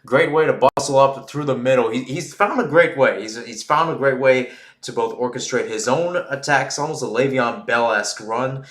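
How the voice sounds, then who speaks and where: A man giving live play-by-play football commentary in a halting way, often repeating his phrases. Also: mid-range voice, fairly bright tone. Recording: roomy, echoing sound.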